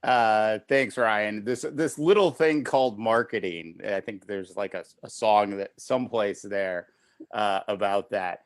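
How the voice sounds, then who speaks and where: A man moderating a podcast in a halting, filler-heavy way, speaking off the cuff. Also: lowish voice, no room sound, clean studio sound. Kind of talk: unscripted podcast introduction.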